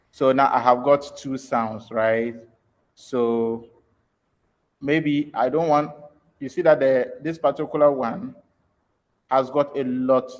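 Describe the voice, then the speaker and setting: A man giving an informal online tutorial as a trainer, explaining step by step in a hesitant way with check-in questions. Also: medium pitch. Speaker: trainer in an online audio editing workshop. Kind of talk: live screen-share tutorial, informal explaining. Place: online video call.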